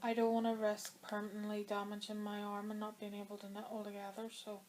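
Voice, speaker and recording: A woman's voice: mid-range voice, completely flat delivery, a little fast, a little mellow, slight background noise. A woman vlogging about her knitting in a chatty, rambling way, her throat dry as she comes down with a cold. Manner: chatty and rambling, with many fillers. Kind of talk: casual chat to camera.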